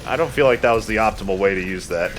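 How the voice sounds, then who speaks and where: A man's voice: on the low side, fast, music in the background. A man giving casual commentary over a video game as he plays, joking, swearing and thinking aloud. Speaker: video game let's player. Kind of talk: casual gameplay commentary with friends.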